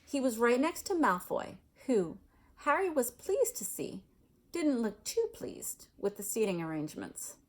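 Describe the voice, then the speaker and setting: A woman's voice: fairly high pitch, big pitch swings, unhurried. A woman reading a storybook aloud expressively, as a storyteller who adds casual asides.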